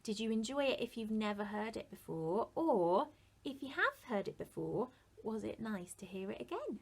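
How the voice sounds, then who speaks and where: A woman's voice: a little high, very animated, normal speed, creaky, slightly rough. A woman reading a picture book to young children as a teacher, in an encouraging, sing-song way with questions to the listeners. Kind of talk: interactive children's storytime.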